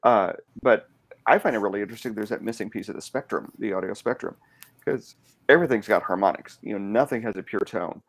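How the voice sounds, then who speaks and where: A podcast host with a low, gravelly baritone. He talks casually and takes his time explaining things. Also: fast. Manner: chatty, explains at length.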